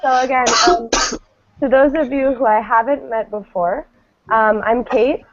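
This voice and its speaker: A woman from the United States teaching an online English class, speaking slowly with many ums, over a video call. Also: a little high. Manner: friendly, many ums, slow clear talk. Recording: dull lo-fi video call audio.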